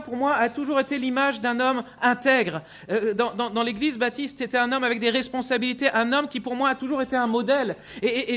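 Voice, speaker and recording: A man from Brittany preaching as a guest pastor in a church, telling his testimony with frequent repeated words and earnest pauses. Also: very high voice, a bit bright. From Brittany, France. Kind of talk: sermon with personal testimony. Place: church sanctuary with mezzanine.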